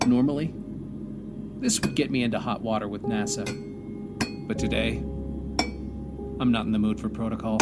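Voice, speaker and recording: A man playing a stranded astronaut commander, reflective and hopeful, delivering a solemn, measured audio drama monologue with pauses. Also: fairly low pitch, fairly even intonation, lightly acted. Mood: wistful, resigned. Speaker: narrator and lead of an audio drama. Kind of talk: dramatic first-person monologue. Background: music.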